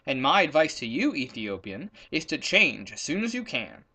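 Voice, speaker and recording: A man's voice: fairly high pitch, sing-song, moderate pace, sharp tone, slightly rough, exaggerated voice. Man voicing a wise, knowing storybook baboon. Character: very wise dog-headed male baboon giving advice.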